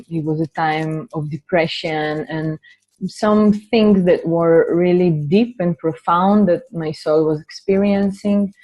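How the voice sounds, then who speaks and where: Slow, low-voiced Israeli-accented woman. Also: mellow, low-quality recording. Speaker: spiritual healer hosting a webinar. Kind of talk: guided meditation and informal webinar talk. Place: online webinar from a computer.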